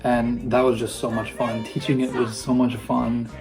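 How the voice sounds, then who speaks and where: A young man with a high tenor voice, a high school theatre student talking enthusiastically about a show. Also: level tone, normal speed, vocal fry, over music. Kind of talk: casual recorded testimonial.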